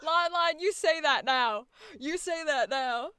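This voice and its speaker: A woman voice actor chatting casually about auditions, animated and profane, laughing often. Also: high voice, slow pace, dry recording, clean studio sound. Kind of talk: casual chat.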